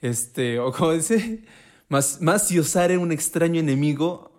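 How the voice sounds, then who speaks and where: A man with a Mexican accent chatting casually as a podcast co-host, swearing often and acting out quotes in an animated way. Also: a little high, a little slow. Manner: slangy, profane and animated, acts out quotes. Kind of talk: casual conversation.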